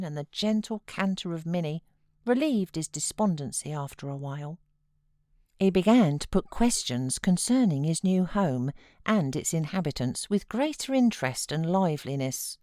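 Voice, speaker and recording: A British woman narrates literary fiction in a low voice. Her storytelling is measured yet animated, with a wide swing in intonation. The sound is close and dry, like a studio read. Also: no echo. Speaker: audiobook narrator, voiceover artist.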